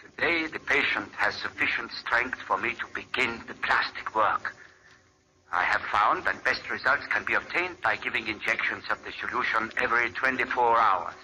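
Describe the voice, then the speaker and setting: A grave, remorseful scientist. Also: male voice, medium pitch, normal speed, sharp tone, rough recording. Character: remorseful male scientist writing his journal. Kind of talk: 1940s radio acting. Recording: old radio recording with hiss.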